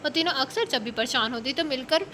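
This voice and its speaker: A woman reading a romance novel aloud in a steady storytelling manner. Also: high-pitched, fast, slight background noise. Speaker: narrator.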